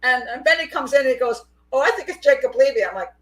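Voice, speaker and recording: A middle-aged woman hosts a casual live call-in with a fairly high-pitched voice. She talks in a loose, chatty way and often breaks into laughter. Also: animated, quick pace, a bit bright. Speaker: host.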